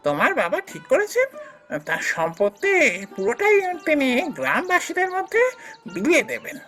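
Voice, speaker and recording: A woman's voice: high-pitched, huge pitch swings, moderate pace, fairly bright tone, faint music behind, full character voice. A woman voicing a greedy, harsh landlord husband in a cartoon fairy tale, snapping and sarcastic. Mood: bitter, resentful. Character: greedy, harsh, scornful son-in-law, rent-collecting landlord.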